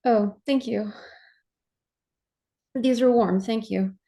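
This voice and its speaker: A woman acting a polite, bewildered runaway bride in a staged play reading, with a hesitant, halting way of talking. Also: on the high side, fairly even intonation, gravelly, warm tone. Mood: grateful, hesitant.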